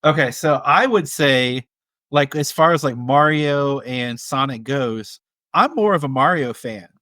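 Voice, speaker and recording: A man's voice: medium pitch, measured pace, sharp tone, no room sound. A man in his thirties co-hosting a podcast, talking casually about films. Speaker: podcast co-host. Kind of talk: casual film chat.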